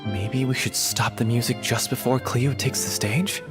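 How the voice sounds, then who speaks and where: A man plays a practical troupe member with a mid-pitched, businesslike voice. He speaks briskly under loud game music. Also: steady tone, natural voice. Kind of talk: video game voice acting. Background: game score music.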